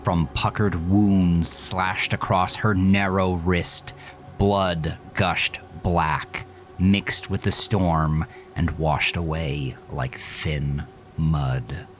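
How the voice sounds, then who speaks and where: Deep low guy reading a horror story, really slow and intense. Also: fairly even intonation, faint music behind. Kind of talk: scripted horror narration.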